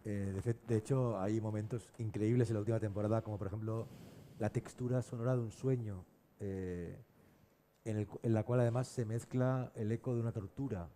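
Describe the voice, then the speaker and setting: Very dark-voiced, low male moderator. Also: steady tone, brisk. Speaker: panel moderator. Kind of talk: thoughtful public discussion. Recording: noisy live event room.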